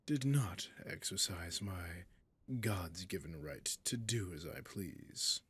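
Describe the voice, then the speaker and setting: Man voicing a deep, breathy, imperious dragon king. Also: fairly bright tone, full character voice. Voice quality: breathy, husky, often near whisper. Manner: slow, deliberate, haughty, archaic formal phrasing. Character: imperious, possessive male dragon king, menacing and seductive. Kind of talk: roleplay audio drama, addressing the listener.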